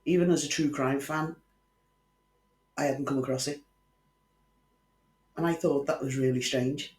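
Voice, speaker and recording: A man's voice: mid-range voice, steady tone, unhurried, darkish tone. A man hosting a true crime channel, telling a case in scripted narration with wry conversational asides to the viewer. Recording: echoey room.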